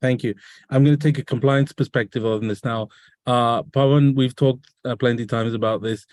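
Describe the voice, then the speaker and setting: A man's voice: medium pitch, steady tone, moderate pace, a little mellow, no echo. A man hosting a business webinar over a video call, asking guests questions with curious follow-ups. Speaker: webinar host. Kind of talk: conversational webinar interview.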